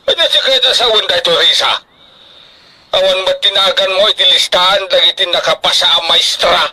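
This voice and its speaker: A man's voice: high-pitched, moderate pace, pushed and bright, poor audio. A man acting an emotional, doting older farmer father in an Ilocano radio drama, speaking emphatically with a Filipino Ilocano accent. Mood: shocked, demanding. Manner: emphatic, emotional, colloquial exclamations.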